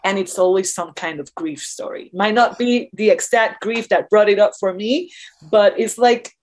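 A woman's voice: medium pitch, normal speed, darkish tone. A woman speaking as an interview guest, explaining her ideas in a reflective, earnest, conversational way. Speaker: interview guest, dance choreographer.

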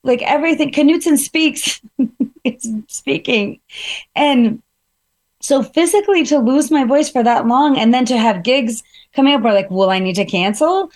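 A woman with a slightly harsh voice, talking through feelings and examples in a relaxed, reflective conversational way, with frequent fillers. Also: sits high, a little slow. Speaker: guest speaker. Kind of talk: casual conversational advice.

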